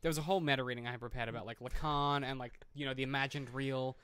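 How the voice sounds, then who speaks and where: A man co-hosting a podcast, talking casually about film in an animated, opinionated way with some swearing. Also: mid-range voice, rapid-fire, clean tone, no room sound. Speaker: podcast co-host.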